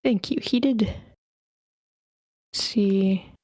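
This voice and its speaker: A woman with a mid-pitched voice hosts an online script read. She gives casual, self-deprecating feedback in plain, unpolished language with some swearing. The sound is dry, with very little room in it. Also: very slow, a little mellow, no echo, low-quality recording. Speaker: host of an online screenplay table read. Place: online group meeting.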